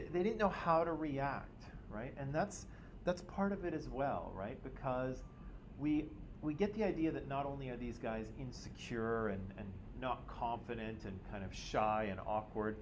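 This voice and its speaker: A man's voice: medium pitch, a little slow. A man teaching a class, joking with students and asking questions, often tagging "right?" onto his points. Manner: jokey, self-teasing, checks in with "right?" constantly. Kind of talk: classroom discussion. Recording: noisy classroom audio.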